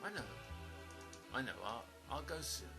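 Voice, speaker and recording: A man playing an earnest stranger pleading for trust in an audio drama, speaking haltingly and repeating words. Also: mid-range voice, very animated, natural voice. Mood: gentle, hopeful. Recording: music under the voice. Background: music.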